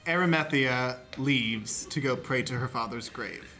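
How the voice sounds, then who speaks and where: A man's voice: mid-range voice, unhurried. A man narrating stage directions as the stage manager of an improvised radio play. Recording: loud music under the voice.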